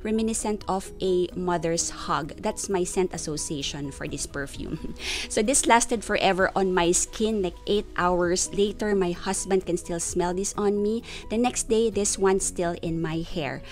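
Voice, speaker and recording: A woman's voice: on the low side, a little slow, a little mellow. Reviewer, female, young adult, from the Philippines: eager, descriptive delivery over faint background music. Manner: enthusiastic, descriptive, stretches words for emphasis. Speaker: perfume reviewer. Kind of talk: friendly scripted product review.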